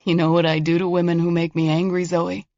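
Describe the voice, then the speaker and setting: A woman voicing an unhinged male killer who taunts his victim through a door, mocking and sing-song, then hushed and threatening, on a dull recording. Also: lowish voice, level tone, brisk, no room sound, lightly acted. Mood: menacing.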